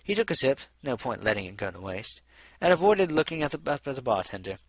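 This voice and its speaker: A man's voice: mid-range voice, sing-song, fast talker, dry recording. A man narrating a story as an audiobook reader, in scripted prose narration.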